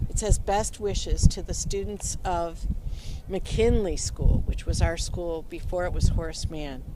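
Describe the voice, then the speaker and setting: A woman's voice: lowish voice, steady tone, a little slow. A woman reading a picture book aloud to children as a school librarian, adding simple explanations as she goes. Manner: simple questions and explanations addressed to young children. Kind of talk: storytime read-aloud for children with explanatory asides. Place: outdoors beside a pond. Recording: very noisy outdoor recording with clicks.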